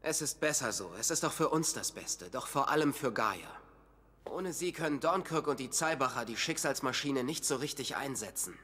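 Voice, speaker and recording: A man's voice: mid-range voice, animated, normal speed, fairly bright tone, husky. An actor playing a grave, resigned man in a fantasy anime audio drama.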